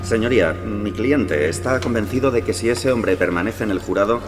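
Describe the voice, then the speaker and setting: An actor playing a formal, deferential defence lawyer in a courtroom audio drama, speaking in a measured way. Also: male voice, fairly low pitch, over music, lightly acted. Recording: long echoing courtroom reverb.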